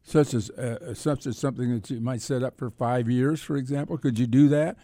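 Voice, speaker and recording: A man with a mellow, mid-pitched voice co-hosts sports radio. He feels out his questions in a halting, conversational way, with a dry studio sound. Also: fairly even intonation, dry recording. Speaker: sports talk radio co-host.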